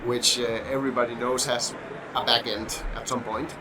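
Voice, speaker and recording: A middle-aged man from Denmark with a Danish accent, a podcast guest who talks in a halting way with many fillers and restarts. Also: mid-range voice, level tone. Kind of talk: casual podcast interview. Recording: noisy background hum.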